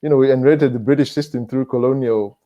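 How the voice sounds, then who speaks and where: A man with a Zimbabwean accent speaking as a panelist in a reflective discussion, measured and halting, repeating phrases for emphasis. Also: mid-range voice, fairly even intonation, dark tone.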